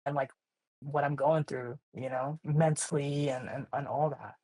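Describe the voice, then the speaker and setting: Young dude from hawaii, husky voice, super casual, lots of likes and you knows. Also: male voice, mid-range voice, steady tone, unhurried, warm tone, poor audio. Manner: laid-back slangy talk, many "like" and "you know". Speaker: guest artist. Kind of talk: casual interview chat.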